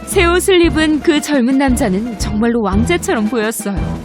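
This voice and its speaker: Female narrator, high voice, gentle fairy-tale reading over loud music. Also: animated, normal speed. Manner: gentle storytelling. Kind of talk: scripted children's story narration. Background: loud background music.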